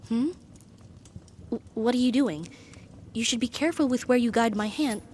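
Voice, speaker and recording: A woman playing a powerful demon devoted to her master in an intimate ASMR roleplay, speaking formally in a soft, breathy voice that often drops to a whisper. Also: on the high side, a little hiss or hum, natural voice. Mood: hesitant, concerned. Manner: formal, devoted, slow and hesitant phrasing.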